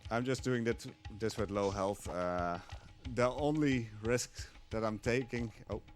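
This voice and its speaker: A man's voice: lowish voice, a little slow, soft background music. A young man giving live commentary while he speedruns a game at a marathon event, talking hesitantly with many fillers.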